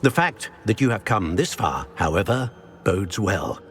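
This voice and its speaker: An actor voicing a solemn, ancient male wizard in a talking portrait for a video game, speaking in a grave, measured and formal way. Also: on the low side, lively intonation, slight background noise. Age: older. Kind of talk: video game cutscene acting.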